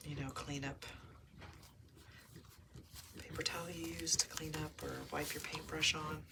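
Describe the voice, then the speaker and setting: A woman's voice: sits low, level tone, a little slow. A woman chatting to viewers while making a junk journal page, musing aloud and slipping into near-whispers, with a chesty cold. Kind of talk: casual crafting chat to viewers. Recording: noisy home recording with clicks.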